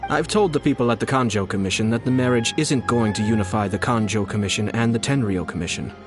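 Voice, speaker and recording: Man playing a low-voiced, composed noble strategist. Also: over music, lightly acted. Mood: composed, scheming. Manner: measured, formal, diplomatic. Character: composed, shrewd young nobleman and commissioner, courteous strategist. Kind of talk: video game voice acting.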